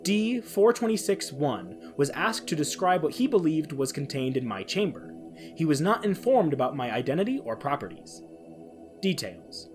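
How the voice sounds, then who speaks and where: A man's voice: on the high side, very animated, normal speed, soft background music. A man who hosts a podcast, speaking on his own. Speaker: podcast host. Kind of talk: dramatic reading of a science-fiction horror log; casual podcast sign-off.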